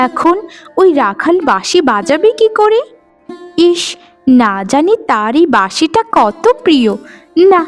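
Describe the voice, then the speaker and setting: A woman playing a sweet, lonely and innocent young forest maiden in a fairytale cartoon, speaking earnestly and politely. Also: high-pitched. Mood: tender, musing. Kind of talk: Bengali fairytale cartoon dialogue.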